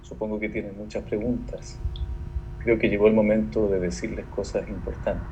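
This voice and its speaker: An actor playing a serious, secretive man in audio fiction, speaking in a measured, grave way on a slightly noisy playback. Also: lowish voice, little pitch movement, dark tone, close to an everyday voice. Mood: grave, hesitant. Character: serious, secretive man making a careful confession.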